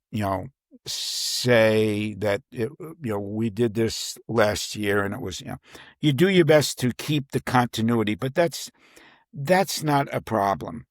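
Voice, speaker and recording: Halting man chatting, dry close sound. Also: mid-range voice, dry recording. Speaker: crime thriller novelist, interview guest. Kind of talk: casual conversational interview.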